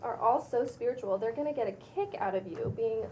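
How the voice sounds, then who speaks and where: Woman acting a breezy, higher-voiced young influencer. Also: brisk, background noise, close to an everyday voice. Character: self-assured young female spiritual influencer, breezy and preachy. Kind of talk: cold table read of a pilot script.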